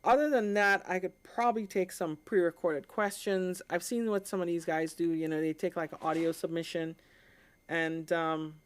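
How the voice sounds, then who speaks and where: A woman's voice: lowish voice, steady tone, moderate pace, smooth. A woman hosting a livestream in a casual, informal, conversational way, often checking in with the listener. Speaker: live stream host, amateur radio hobbyist.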